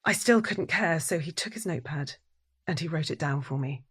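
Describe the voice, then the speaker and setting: Low, breathy, introspective wife. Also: female voice, animated, a bit bright, full character voice. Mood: bewildered, uneasy. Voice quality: breathy, husky. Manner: introspective, deliberate, dramatic pauses. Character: troubled deafened wife recounting her withdrawn life. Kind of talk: first-person audio drama narration.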